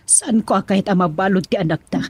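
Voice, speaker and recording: A woman's voice: medium pitch, fast talker, breathy edge, no echo, lightly acted. A woman playing a worried mother fretting over her child in a radio drama.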